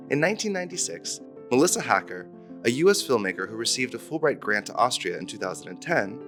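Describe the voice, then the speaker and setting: A man narrates a scripted introduction in a measured, documentary style. His pitch moves lively up and down, and guitar music plays clearly under his voice.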